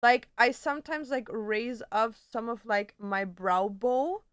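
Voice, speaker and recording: A woman's voice: fairly high pitch, measured pace, fairly bright tone, smooth, no room sound, clean studio sound. A woman livestreamer chatting casually and answering viewers, with a slangy, blunt and playfully sweary way of talking. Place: indoor home streaming setup.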